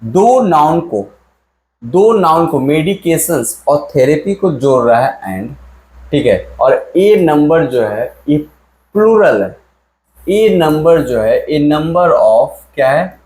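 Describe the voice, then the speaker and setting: A man's voice: a little high, sing-song, slow pace, a little mellow. A male teacher explaining grammar in an exam-prep lesson. He quizzes the viewers again and again and repeats key words. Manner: stretches out English sentences he reads aloud. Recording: echoey room.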